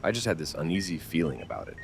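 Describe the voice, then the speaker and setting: A man playing a grim, uneasy police officer in a horror audio drama, gruff and halting in his delivery. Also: rumbling low, very animated, slight background noise, heavily acted.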